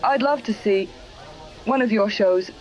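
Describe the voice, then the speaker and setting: A woman's voice: mid-range voice, unhurried, a little mellow, lightly acted. A woman playing a defiant, scheming den mother of a nightclub in a parody radio drama. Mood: coy, coaxing. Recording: muffled old-radio sound with music.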